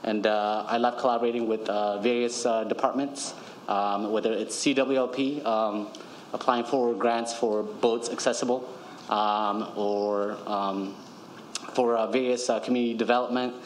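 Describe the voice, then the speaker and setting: A man's voice: lowish voice, fairly even intonation, moderate pace, slight background noise. A young man from Ethiopia introducing himself at a council podium, polite and hesitant with many fillers. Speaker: city GIS analyst and projects manager. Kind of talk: self-introduction at council meeting. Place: large council chamber at podium. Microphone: podium microphone.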